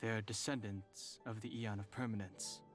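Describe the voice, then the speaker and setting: An actor voicing a calm, reserved young man in a video game, explaining in a measured, grave way. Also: lowish voice, lightly acted. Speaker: game character. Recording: game audio with faint music behind.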